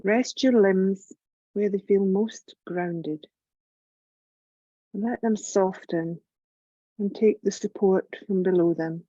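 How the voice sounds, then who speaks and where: A woman leading a guided yoga nidra meditation in a gentle, calm, measured voice with long pauses. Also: mid-range voice, no echo, muffled recording. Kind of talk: slow guided relaxation meditation narration.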